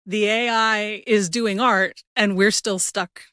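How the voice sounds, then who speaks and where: A woman's voice: mid-range voice, fairly even intonation, takes it slowly, fairly bright tone, clear, resonant tone, no room sound. A woman with a mid-range voice speaks as a podcast host. She is conversational and thoughtful, setting out her points a short phrase at a time. The recording is dry and free of room sound. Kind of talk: casual conversational interview.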